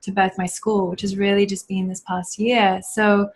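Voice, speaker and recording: A woman guest on a video call, telling a personal story in an earnest, reflective, spiritual way with many pauses and fillers. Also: mid-range voice, flat delivery, husky. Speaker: guest, yoga teacher and school founder. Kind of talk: casual interview storytelling.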